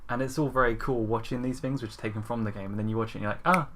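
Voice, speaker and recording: Medium-pitched man chatting on a podcast. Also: steady tone, rapid-fire, fairly bright tone, slight background noise. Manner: informal, conversational. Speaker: podcast panelist. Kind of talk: casual chat.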